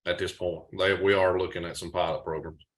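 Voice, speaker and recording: A man's voice: sits low, level tone, brisk. A man speaking on a webinar panel over a video call, explaining his work in a plain, matter-of-fact way. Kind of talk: casual explanatory talk.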